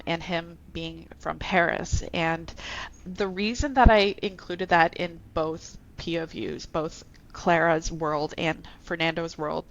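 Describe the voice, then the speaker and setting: Canadian woman author, low gravelly voice, chatty with frequent fillers, dull lo-fi sound. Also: a bit bright. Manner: chatty, many ums and restarts. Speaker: author, guest. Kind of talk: casual interview talk.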